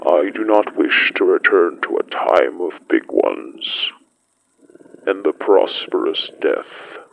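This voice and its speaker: An actor playing an ancient, solemn and menacing spirit beast with a breathy, growling voice and slow, archaic phrasing in an audio drama. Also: low-pitched, expressive, dull audio, exaggerated voice. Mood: grave, foreboding.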